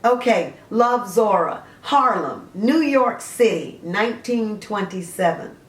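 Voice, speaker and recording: A woman's voice: medium pitch, expressive, slow pace. A woman hosting a book series, gushing about stories and reading excerpts aloud with frequent fillers. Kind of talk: casual book review with read-aloud excerpts. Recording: roomy echo.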